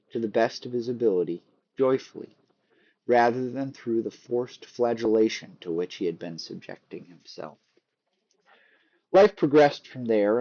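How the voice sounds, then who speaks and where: A man narrating a book in a measured storytelling way, with dry humor. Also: mid-range voice, dark tone, highs cut off. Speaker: author reading a book aloud. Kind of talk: scripted narration, book read-aloud.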